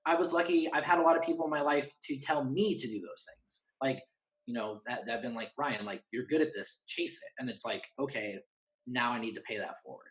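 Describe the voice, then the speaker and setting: A man with a mid-pitched voice speaks as a guest in a candid, casual conversation. His delivery is earnest and halting, with phrases restarted and thoughts often voiced as little quotes. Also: rough recording. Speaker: podcast guest.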